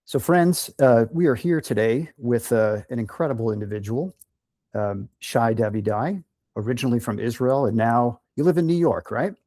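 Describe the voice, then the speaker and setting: A man's voice: mid-range voice, animated, moderate pace, dark tone, no room sound, clean studio sound. A man interviewing a guest, upbeat but hesitant, with many ums. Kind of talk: casual interview.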